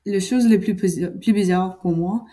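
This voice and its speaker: A woman's voice: medium pitch, unhurried, dark tone. A young woman whose first language is English, speaking French with an English-speaking learner's accent, hesitant and searching for words in casual conversation. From Winnipeg, Manitoba. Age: young adult. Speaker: university student, interviewer.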